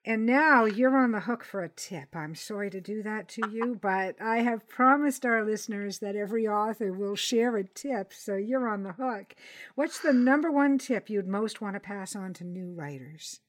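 A woman podcast host with a mid-pitched voice interviews in a conversational style. She is encouraging and readily affirms her guest. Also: normal speed.

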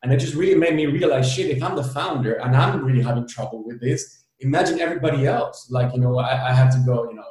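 Male founder-guest, 30s, candid and halting, mid pitch, very reverberant room. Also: darkish tone. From El Salvador. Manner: candid, fast-paced, hesitant restarts and fillers. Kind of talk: casual interview talk. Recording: very echoey room.

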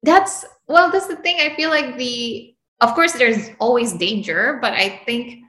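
A young adult woman from Indonesia, a podcast guest telling travel stories in an animated, laughing way. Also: a little high, measured pace, roomy sound. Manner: animated storytelling, frequent laughs. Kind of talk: casual interview chat.

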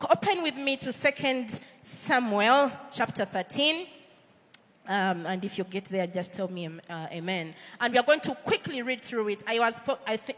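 A woman's voice: mid-range voice, a bit bright, long reverb tail. A woman with a Ugandan accent preaching a sermon in church, earnest and conversational, with frequent fillers and restarts.

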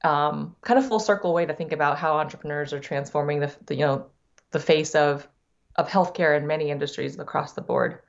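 A woman's voice: sits low, a little fast, creaky, muffled recording. A middle-aged woman, Thai as her first language and raised in the United States, speaking reflectively as a podcast guest, often restarting her sentences. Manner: reflective, many restarts and repeated words. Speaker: guest, investor. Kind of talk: podcast interview.

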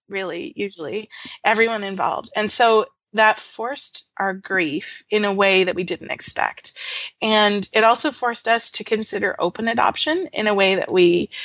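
A woman in her forties speaks as a podcast guest, reflective and unhurried, with frequent fillers and little laughs. Her voice sits at a middle pitch. The recording is dry and a touch muffled, with no room sound. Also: a bit bright, no room sound. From Canada. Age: 40s. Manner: reflective, laughs often, many fillers and restarts. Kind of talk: casual interview storytelling.

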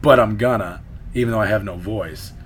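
A man's voice: lowish voice, a little fast, slight background noise. A man losing his voice who co-hosts a podcast with his daughter, chatting casually and ranting. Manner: animated ranting, frequent restarts. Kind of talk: casual chat.

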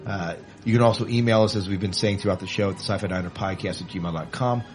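Man, low pitch, podcast host, casual chat, faint music behind. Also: fast talker.

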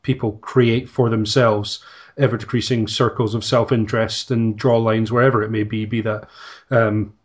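A man in a podcast conversation, speaking in a measured, thoughtful way. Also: fairly low pitch, flat delivery. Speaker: podcast guest. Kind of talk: reflective conversation.

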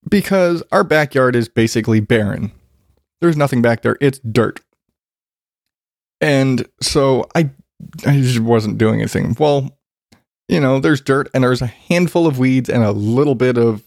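A man's voice: medium pitch, measured pace, vocal fry, no echo, studio quality. A man with a congested voice ranting casually about yard work, swearing freely and rambling. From Arizona, US. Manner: profane, rambling, self-deprecating. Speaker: vlogger. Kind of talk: casual rant.

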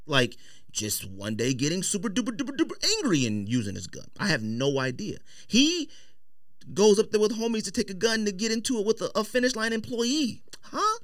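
Man, podcast co-host, emphatic, repetitive, argumentative, very animated intonation, close dry sound. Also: high voice, fairly quick, no echo. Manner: emphatic, repeats words, argumentative. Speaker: sports and sneaker podcast co-host. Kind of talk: heated casual debate. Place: podcast studio.

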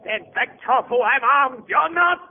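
An actor playing a deranged, grandiose would-be healer in a theatrical, declamatory 1940s radio drama style, on a tinny old recording. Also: male voice, high-pitched, lively intonation, a little slow, noisy background. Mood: desperate, threatening.

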